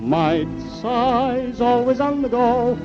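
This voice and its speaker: A man's voice: extremely high pitch, lively intonation, slow, over music. A man singing a children's theme song in 1930s radio style. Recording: muffled old radio recording.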